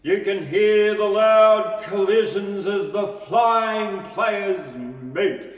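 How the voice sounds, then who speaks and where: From some way off in a hall with long reverb, a man recites a bush ballad. He takes his time over the verse, delivering it slowly and with emphasis like a storyteller. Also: sits high. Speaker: poetry reader. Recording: echoey hall, distant mic.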